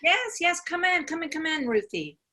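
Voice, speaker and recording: A woman's voice: very high-pitched, very animated, moderate pace, pushed and bright, full character voice. A woman playing a flustered, apologetic mother, hesitant and repeating herself, in a staged play reading. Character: flustered, apologetic widowed mother, absent-minded and welcoming.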